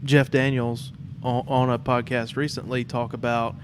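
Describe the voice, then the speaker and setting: A man's voice: mid-range voice, flat delivery, normal speed, background noise. A man hosting a podcast in a casual, conversational interview style. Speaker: podcast host.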